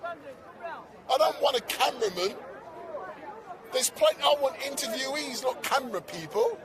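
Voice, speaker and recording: A very high-voiced man from Barbados interviews people at a street protest, chatty and teasing. He keeps cutting in to hold the floor. A crowd loudly fills the outdoor recording behind him. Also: expressive, measured pace. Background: crowd noise.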